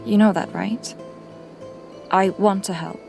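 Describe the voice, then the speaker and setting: A woman's voice: medium pitch, steady tone, slow pace, creaky, a little mellow. A woman voicing Lyra, a guilt-ridden young cat. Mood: uneasy. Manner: hesitant, apologetic, defensive. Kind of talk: audio drama acting. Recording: music underneath.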